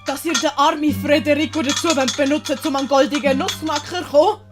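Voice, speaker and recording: A woman's voice: high voice, fairly even intonation, fast, pitched above the performer's own voice, natural voice. A cheeky commedia trickster , acted by a woman. The defiant little servant blurts things out indignantly. Faint music plays behind the audio story.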